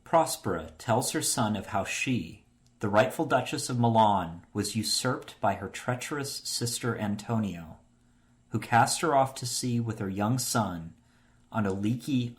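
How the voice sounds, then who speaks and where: A man's voice: fairly low pitch, close to an everyday voice. A man playing the storytelling narrator of a radio play, reading scripted narration.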